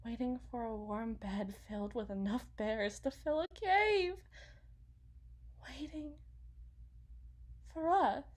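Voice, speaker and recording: A woman performs an anguished mother in an intimate ASMR roleplay monologue. Her voice is notably high and she speaks slowly, in halting phrases. She confesses her guilt to a friend with dramatic feeling. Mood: tender, near tears.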